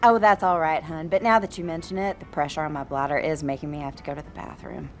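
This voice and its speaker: A woman's voice: low voice, fairly even intonation, fast, soft background music, close to an everyday voice. An actress playing a sweetly motherly pregnant wife, coaxing and full of endearments, in a naturalistic audio drama. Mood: coaxing, reassuring. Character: sweetly motherly pregnant wife, solicitous toward a teenage girl.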